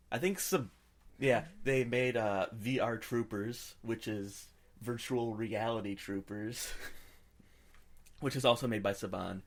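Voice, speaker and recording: A man co-hosting a podcast, chatting casually about pop culture and giving his opinions freely. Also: on the low side. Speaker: podcast co-host.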